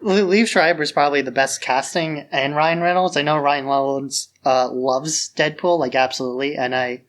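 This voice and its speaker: A man's voice: a little high, fairly even intonation, moderate pace, few pauses. A man co-hosting a podcast about films in a casual, conversational style. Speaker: podcast co-host. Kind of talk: casual chat.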